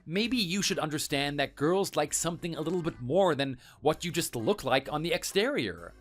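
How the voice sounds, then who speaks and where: A man's voice: fairly high pitch, expressive, moderate pace. A man narrating a first-person story and arguing back in an indignant, defensive tone, with faint music behind.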